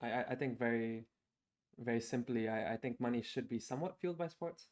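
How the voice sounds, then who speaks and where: A man's voice: medium pitch, a little fast. A man in his twenties chats on a podcast, working through his points as he goes. He restarts and hedges, dropping fillers along the way. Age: 20s. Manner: thinks aloud, many restarts and "like". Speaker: podcast co-host. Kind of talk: casual podcast chat.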